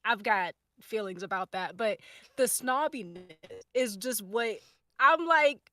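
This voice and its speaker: A woman's voice: medium pitch, animated, measured pace, sharp tone, no echo. A woman chatting casually and off the cuff, thinking aloud with emphatic repeats. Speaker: podcast cast or crew member. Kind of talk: casual, off-the-cuff group chat.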